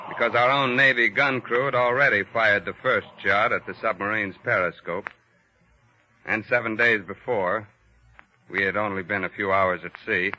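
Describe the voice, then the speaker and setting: An actor playing a plain, matter-of-fact city doctor in 1940s radio drama style. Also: male voice, on the low side, sharp tone, slight background noise. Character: plain city doctor recounting surviving a torpedo attack. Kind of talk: 1940s radio drama first-person narration. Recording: muffled old 1940s radio recording.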